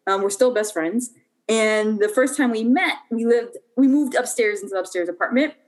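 Young woman on a podcast, chatty, lots of ums and restarts, slightly high voice. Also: normal speed. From Puerto Rico. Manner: chatty, many fillers and restarts. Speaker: podcast guest. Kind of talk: casual conversational storytelling.

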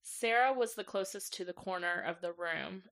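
A woman's voice: fairly low pitch, normal speed, a bit bright. A woman co-hosting a podcast, chatting casually and recounting ghost hunts in an informal, emphatic way. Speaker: podcast co-host.

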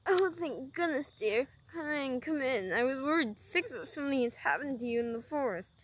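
A woman voicing a kind, fussing old grandmother in a storybook reading, with a soft manner. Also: high-pitched, animated, normal speed. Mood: soothing.

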